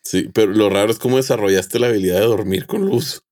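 Male podcast sidekick, Mexican, lowish voice, rapid informal interjections. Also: a little mellow. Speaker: podcast co-host. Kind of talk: casual chat, interjecting.

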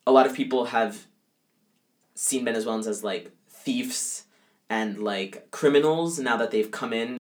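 A man's voice: mid-range voice, measured pace. A young male college student answering interview questions casually, with frequent fillers and restarts. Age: young adult. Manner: conversational, many fillers like "like" and "um".

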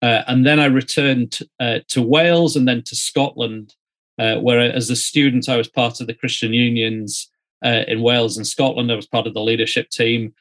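A middle-aged man from Yorkshire, speaking as an interview guest in a conversational storytelling way with measured, hesitant phrasing. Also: medium pitch, little pitch movement, a bit bright. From Yorkshire, northern England. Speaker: interview guest, student ministry director.

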